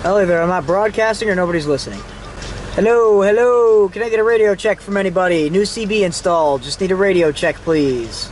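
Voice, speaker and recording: A man with a notably high-pitched voice talks through a hands-on repair job like a casual video host. He is informal and self-deprecating, with mildly salty slang. A faint noise from in and around the vehicle sits behind him. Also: fairly quick. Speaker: host of a DIY car how-to video. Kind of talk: casual hands-on tutorial narration. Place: in and around a vehicle while working on it.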